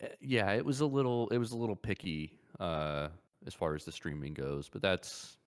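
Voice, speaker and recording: A man's voice: deep voice, moderate pace, clean tone. A man hosting a podcast, chatting casually about video games and often circling back to repeat his phrases. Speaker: podcast host.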